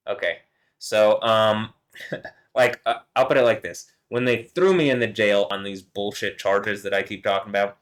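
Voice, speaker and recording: Rambling, profane man with a low voice. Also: fairly bright tone, smooth. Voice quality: deep voice. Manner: rambling, profane, chuckling, trailing off mid-sentence. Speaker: vlogger. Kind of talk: rambling solo monologue to camera.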